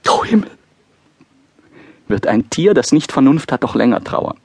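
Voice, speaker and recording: An actor playing an anguished, disgusted young prince thinking aloud in a classical audio drama, husky and impassioned. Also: male voice, medium pitch, sing-song, measured pace, a little mellow, dry recording, exaggerated voice. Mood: anguished, outraged. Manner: impassioned, volatile soliloquy delivery.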